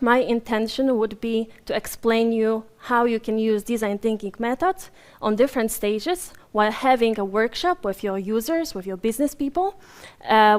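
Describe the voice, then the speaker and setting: A Polish woman giving a live conference talk, chatty and apologetic, with frequent fillers and restarts. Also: a little high. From Poland. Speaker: conference speaker. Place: conference room with a live audience. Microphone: handheld or stage microphone while moving around.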